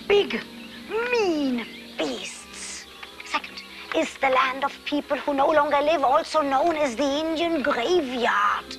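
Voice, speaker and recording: An actress playing an eccentric, blunt, moody mystic guide, with a dramatic, drawn-out delivery in a comic fantasy style. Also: female voice, sits high, lively intonation, exaggerated voice. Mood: ominous. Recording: music behind, VHS tape source.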